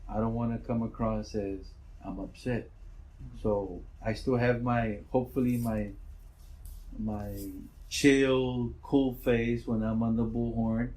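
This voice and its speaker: A middle-aged man with a naturally loud voice, a school principal answering interview questions in a relaxed, rambling way. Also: mid-range voice, slow, low-quality recording.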